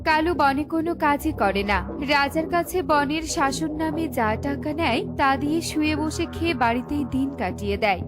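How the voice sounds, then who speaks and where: A woman giving scripted narration for a children's cartoon story, with music behind her. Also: sits high.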